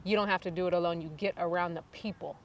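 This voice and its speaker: Female interviewer-coach, supportive, lower voice, light background noise. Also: fairly even intonation, fast, dull audio. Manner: affirming, rephrases the guest's answers.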